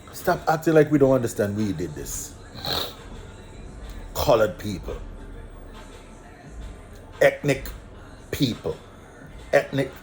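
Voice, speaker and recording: A man's voice: medium pitch, a little mellow, rough recording. A man from Antigua with an Antiguan accent, talking his views in a casual, preachy monologue, repeating phrases for emphasis.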